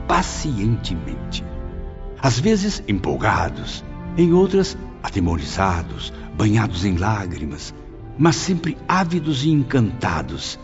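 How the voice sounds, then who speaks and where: A man's voice: sits low, exaggerated voice. An actor playing a humbled, marveling male spirit who narrates his own story dramatically and reverently in an audiobook. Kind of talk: first-person audiobook narration. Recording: muffled, clear background music.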